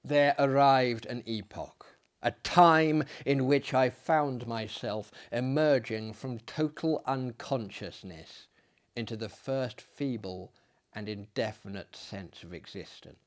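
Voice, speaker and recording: Slow, theatrical male gothic storyteller. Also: medium pitch. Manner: hammy, deliberate, swinging from hushed to loud. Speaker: dramatic reader, performer. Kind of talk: theatrical dramatic reading of a gothic horror story.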